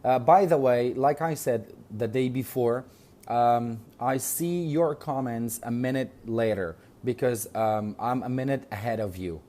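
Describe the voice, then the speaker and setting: A man's voice: mid-range voice, unhurried, warm tone. A man with a Kurdish accent teaching English in an online lesson, patiently repeating words, spelling them out letter by letter and checking in with "okay?".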